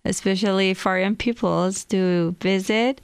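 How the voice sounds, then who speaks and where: A woman's voice: mid-range voice, fairly even intonation, unhurried, clean tone, no room sound, studio quality. A young woman from Iranian Kurdistan, a native Kurdish speaker with a Kurdish accent, answering interview questions hesitantly and searching for words. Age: young adult. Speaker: guest.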